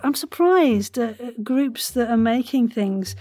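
Higher-voiced, effusive woman podcast host. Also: animated, a little slow, mellow, dry recording. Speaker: podcast host and audio drama voice actor. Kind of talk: chatty interview hosting.

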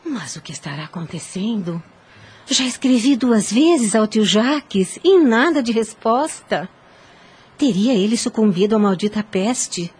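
An actress playing an anxious, devout, ailing young wife in a radio soap opera, earnest and melodramatic. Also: female voice, fairly high pitch, lively intonation, measured pace, a little mellow, breathy edge, heavily acted. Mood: worried.

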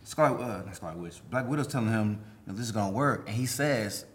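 A man's voice: fairly low pitch, animated, fast talker, slight background noise. A man co-hosting a podcast, discussing a film casually in an informal, joking way. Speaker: podcast co-host.

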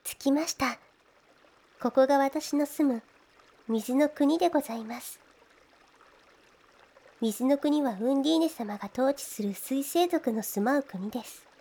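A woman voicing a gentle, devoted slime woman in an ASMR fantasy audio drama, speaking politely and a little shyly. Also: sits high, a little slow, long pauses. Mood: calm, reassuring. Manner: soft, polite, deferential, shyly hesitant. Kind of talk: Japanese ASMR situation voice drama.

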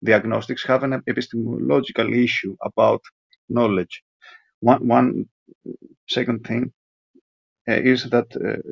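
A man leaving a voicemail as a caller, delivering a halting, repetitive, pedantic lecture-like monologue. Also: medium pitch, gravelly, no echo. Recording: dry, muffled lo-fi voicemail.

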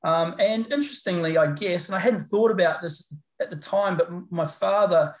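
A middle-aged man with a New Zealand accent, chatting as an interview guest in a relaxed, rambling way full of fillers and restarts. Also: high-pitched, moderate pace. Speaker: guest, paramedicine lecturer. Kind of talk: casual interview chat. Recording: roomy echo.

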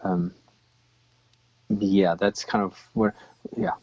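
A man's voice: low voice, fairly even intonation, slow, darkish tone, no echo, lo-fi sound. A man from Chile whose first language was Swiss German, talking in a casual interview with many ums and restarts.

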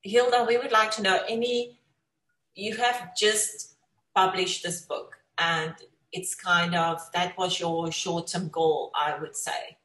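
A woman's voice: lowish voice, fairly even intonation, takes it slowly, fairly bright tone. Echoey woman hosting a supportive talk show. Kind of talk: conversational interview. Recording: echoey room sound.

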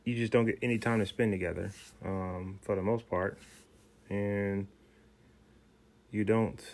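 A man's voice: sits low, vocal fry. A man from Chicago talking casually and rambling a little about married life. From Chicago, Illinois. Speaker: interviewee, husband. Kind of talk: casual chat.

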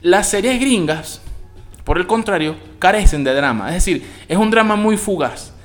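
A man's voice: sits high, moderate pace, faint music behind. Venezuelan guy ranting about a show, swears a lot, voice jumps around. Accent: Venezuelan Spanish. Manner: slangy, expressive, swears freely. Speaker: series reviewer. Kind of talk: casual spoken review.

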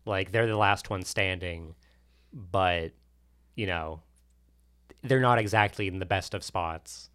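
Guy with a deep smooth voice, rambly movie chat on a podcast, lots of little asides. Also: slow, pauses often, pushed and bright. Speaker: podcast co-host. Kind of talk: casual movie discussion.

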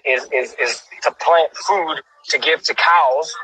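Bright, husky-voiced enthusiastic man. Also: a little high, low-quality recording. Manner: enthusiastic, halting with many restarts and fillers. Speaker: guest, cyclist. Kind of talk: casual video call chat. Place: cycling town, showing a cafe on camera.

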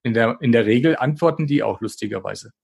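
A man's voice: mid-range voice, lively intonation, quick pace, vocal fry, a little mellow, breathy edge. A man talking casually as a podcast guest, in a blunt, colloquial, motivational way. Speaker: podcast guest, diabetes expert. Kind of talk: casual conversational interview talk.

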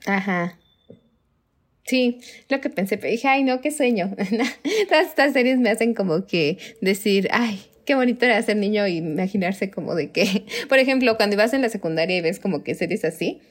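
Young woman, Mexican Spanish accent, podcast co-host, casual chat, laughs often, mid-pitched voice. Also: expressive, moderate pace. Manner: chatty, laughs often, acts out her own reactions in quotes.

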